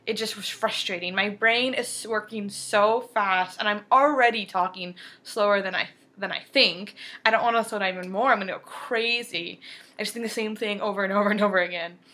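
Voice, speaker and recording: A woman's voice: mid-range voice, fairly even intonation, a little fast, bright, forceful tone. A woman of twenty-two talking candidly to camera, stuttering now and then and repeating or cutting off words, earnest and open. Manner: earnest and open, with fillers and brief laughs. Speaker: vlogger. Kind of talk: candid personal talk to camera.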